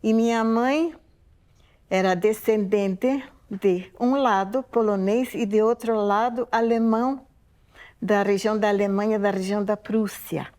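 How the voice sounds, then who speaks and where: A woman's voice: medium pitch, steady tone, measured pace, a little mellow. A woman in her eighties from Poland, giving a reflective, unscripted spoken testimony and often checking in with tag questions. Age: 80s. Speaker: museum oral-history interviewee.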